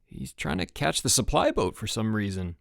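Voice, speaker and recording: A man's voice: lowish voice, big pitch swings, moderate pace, vocal fry, fairly bright tone, no echo, exaggerated voice. A man voicing a bewildered young male camp staffer who speaks mildly and sounds puzzled, recorded dry. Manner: mild, puzzled.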